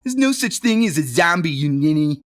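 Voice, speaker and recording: A man doing a sneering high-voiced schoolboy bully. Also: sing-song, moderate pace. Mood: taunting. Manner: taunting, loud.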